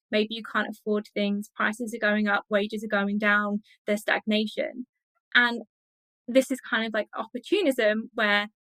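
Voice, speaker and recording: Woman academic being interviewed, higher bright voice, dry close sound, explains things carefully. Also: no room sound, low-quality recording. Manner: measured, explanatory, uses everyday examples. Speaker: interview guest, academic researcher. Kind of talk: thoughtful interview discussion.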